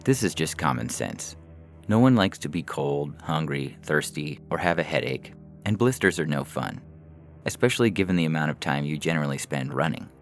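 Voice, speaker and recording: Male narrator, extremely deep with gravelly fry, deliberate short-story reading over faint music. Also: lively intonation. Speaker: story reader. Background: faint background music.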